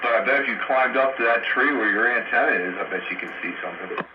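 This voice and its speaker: A man's voice: mid-range voice, quick pace. A man, a radio hobbyist, chatting casually over a two-way radio. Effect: two-way radio transmission. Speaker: amateur radio operator. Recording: muffled, very noisy two-way radio.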